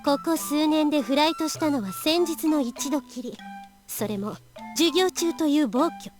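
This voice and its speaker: A woman acting youthful, expressive high-school girls in a Japanese visual novel. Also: brisk, close to an everyday voice. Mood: stern. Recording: prominent game music behind dialogue.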